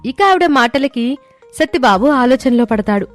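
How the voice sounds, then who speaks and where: A woman narrates a scripted moral tale as storyteller. Her voice is fairly high, and music plays underneath. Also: lively intonation, normal speed.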